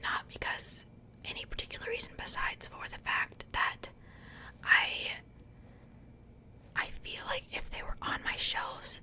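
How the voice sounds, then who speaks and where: Female ASMR host, breathy whisper throughout, casual and chatty unboxing commentary, unhurried delivery. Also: sharp tone.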